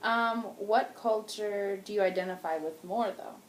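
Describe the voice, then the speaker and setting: A woman's voice: mid-range voice, a little slow. A woman with a mid-range voice asks interview questions and brief follow-ups. Her voice carries a roomy echo. A faint hiss runs underneath.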